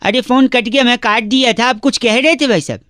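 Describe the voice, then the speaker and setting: A man making radio prank phone calls, teasing and provoking the person on the line with mock-innocent calm. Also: extremely high pitch, fairly quick, no room sound.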